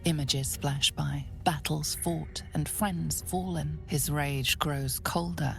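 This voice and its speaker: Grave ominous fantasy game narrator, hushed, deep and super croaky, music behind. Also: female voice, fairly even intonation, takes it slowly, exaggerated voice. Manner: hushed, dramatic.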